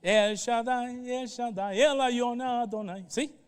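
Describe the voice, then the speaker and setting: A man's voice: very high-pitched, fairly even intonation, slow pace, clear, resonant tone. A pastor, a man with a Puerto Rican accent, teaching the Bible in a didactic way and checking in with short questions. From Puerto Rico. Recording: reverberant hall sound.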